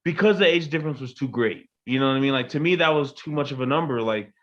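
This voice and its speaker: A man in his late twenties tells a personal story in casual podcast talk, often checking that his listeners follow. His pitch sits in the middle. The recording is a little dull. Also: fast. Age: 20s. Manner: animated storytelling, frequent "you know what I mean". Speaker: guest. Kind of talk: casual chat.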